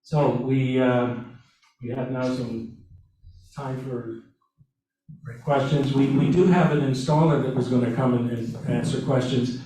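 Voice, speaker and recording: Guy presenting, mellow voice, lots of restarts, far off in an echoey room. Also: mid-range voice, steady tone. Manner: halting, with frequent restarts and fillers. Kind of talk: webinar presentation. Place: meeting room with audience.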